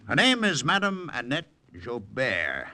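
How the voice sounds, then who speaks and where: An actor playing a plainspoken, grateful American air force major telling a war story in 1940s radio style, with a folksy manner. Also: male voice, medium pitch, wildly swooping pitch, a little slow, sharp tone, exaggerated voice. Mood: relieved, frank. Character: plainspoken, grateful American airman major, rescued after shootdown.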